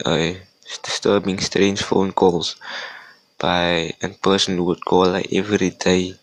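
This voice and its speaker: Male podcast storyteller, low flat voice, halting reader, dry close sound. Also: creaky, no echo, rough recording. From Africa. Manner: halting, stumbles and repeats words while reading. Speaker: podcast host. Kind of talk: reading scary stories aloud, with casual asides.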